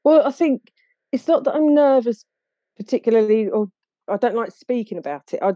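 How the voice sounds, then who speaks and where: A woman with an Essex English accent talking casually and a little haltingly as an interview guest. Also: on the high side, animated, mellow, no room sound, lo-fi sound. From Essex, England. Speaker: podcast guest, charity founder.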